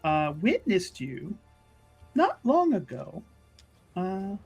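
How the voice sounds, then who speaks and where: A woman's voice: medium pitch, wildly swooping pitch, slow pace, a little mellow, breathy edge, soft background music, exaggerated voice. A woman playing a probing, flustered and overly polite church official in a tabletop roleplay, stammering and repeating phrases. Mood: probing, halting.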